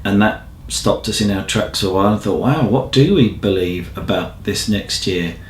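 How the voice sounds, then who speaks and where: A man's voice: lowish voice, moderate pace, a little hiss or hum. A man co-hosting an informal church news update in a conversational, reflective manner. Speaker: church newsreel co-host. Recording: echoey room sound.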